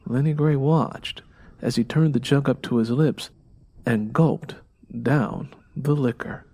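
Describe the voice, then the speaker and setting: A man's voice: mid-range voice, slow, dark tone. A man reading scripted fiction as an audiobook narrator.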